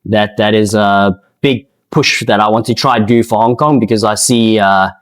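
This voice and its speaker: A man with a South African accent giving casual, unscripted interview answers in a hesitant, halting way, often repeating words. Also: lowish voice, fairly even intonation. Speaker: interviewee, amateur speedsoft player and organiser.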